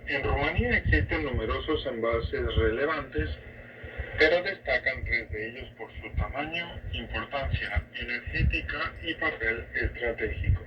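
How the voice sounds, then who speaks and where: A man presenting a scripted informational narration on shortwave radio. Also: medium pitch. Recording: dull, distant, noisy shortwave radio reception. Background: shortwave static noise.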